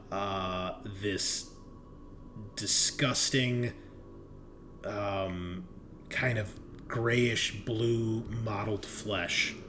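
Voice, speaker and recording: A man improvising narration as game master of a horror tabletop game, halting with drawn-out pauses as he describes scenes. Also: on the low side, noisy background.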